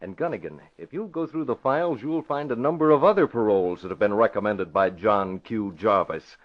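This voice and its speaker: An actor playing a firm, crusading newspaper publisher in a curt, authoritative old-time radio drama style. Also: male voice, fairly low pitch, animated, a little fast, close to an everyday voice. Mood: indignant, resolute. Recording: dull vintage radio broadcast.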